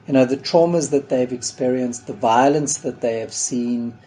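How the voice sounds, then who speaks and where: Mellow-voiced man, measured and earnest. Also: medium pitch. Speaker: school project founder. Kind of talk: documentary interview.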